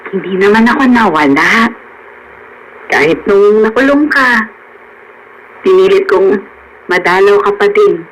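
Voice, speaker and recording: An actress with a Filipino accent playing a devoted, loving wife with tender emotion in a radio drama. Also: female voice, medium pitch, animated, unhurried, long pauses, low-quality recording. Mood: tender teasing.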